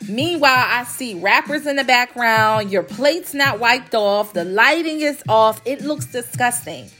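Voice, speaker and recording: Woman, stuffy congested voice, slightly high pitch, casual podcast chat, emphatic repeats, faint background music. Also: expressive, sharp tone. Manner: chatty and emphatic, repeats phrases, quick asides. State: congested, recovering from illness. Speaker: podcast host, graphic design company owner. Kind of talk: casual solo podcast talk.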